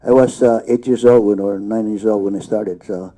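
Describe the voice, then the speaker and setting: A man in his fifties tells stories on a festival panel in a mellow, warm voice. He talks haltingly, with lots of fillers, and chuckles often between thoughts. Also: on the low side, fairly even intonation. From born in Hawaii, raised in the Philippines. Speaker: actor and festival co-founder. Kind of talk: panel discussion, casual storytelling.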